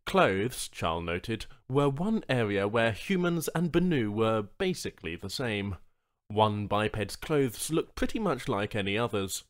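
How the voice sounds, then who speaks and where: A man's voice: fairly low pitch, very animated, a little slow. A man narrating a scripted short story as an audiobook reader.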